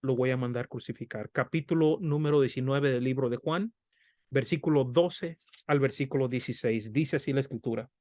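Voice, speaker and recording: A man's voice: mid-range voice, brisk, a little mellow, no echo, clean studio sound. A middle-aged man from Mexico with a Mexican Spanish accent, giving an earnest, conversational Bible study sermon. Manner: earnest, conversational, addresses listeners as brothers. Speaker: preacher.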